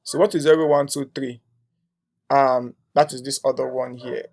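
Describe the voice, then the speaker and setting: A man's voice: mid-range voice, steady tone, moderate pace, darkish tone. A young man teaching a coding tutorial, thinking aloud as he types, with frequent "um"s and a tag "right?". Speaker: coding instructor. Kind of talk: screen-share coding tutorial.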